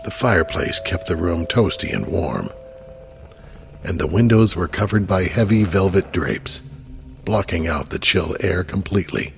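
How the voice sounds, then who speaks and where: A man's voice: low-pitched, lively intonation, measured pace, gravelly, soft background music. A man narrating a horror audio drama in a scripted storytelling style.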